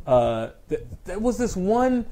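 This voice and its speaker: A man's voice: sits high, big pitch swings, slow, warm tone. A man co-hosting a film talk show, chatting casually with strong opinions and repeating phrases for emphasis. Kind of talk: opinionated casual chat about movies.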